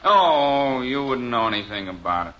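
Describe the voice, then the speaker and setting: An actor playing a complaining, henpecked American poker buddy in a 1950s radio sitcom. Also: male voice, medium pitch, lively intonation, moderate pace, over a noisy crowd, close to an everyday voice. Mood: grumbling. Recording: dull, hissy old radio recording.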